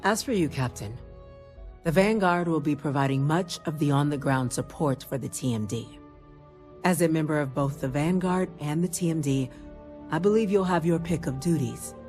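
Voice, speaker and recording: A woman playing a dignified senior government official in a video game, speaking formally with measured authority. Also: low-pitched, darkish tone, soft background music, close to an everyday voice. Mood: formal, grateful.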